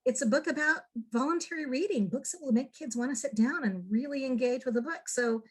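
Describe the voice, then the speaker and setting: Upbeat interviewer, middle-aged woman, slightly high pitch, eager and warm questions. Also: a little fast, breathy edge. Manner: enthusiastic, encouraging. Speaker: interview host. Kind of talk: casual interview.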